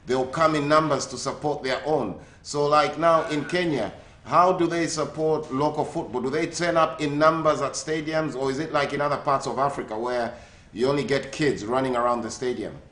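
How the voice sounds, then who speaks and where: A man's voice: on the high side, normal speed, fairly bright tone, echoey room, slight background noise. A man from Zambia hosting a sports radio show, asking friendly questions with many hesitations and fillers. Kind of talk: radio phone interview. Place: living in the UK.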